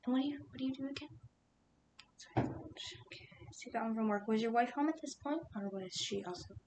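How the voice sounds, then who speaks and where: A woman voicing a calm, patient female police detective, whispering softly and asking careful, soothing questions in an ASMR roleplay. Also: brisk, natural voice. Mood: questioning. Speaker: interrogator. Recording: steady low rumbling noise.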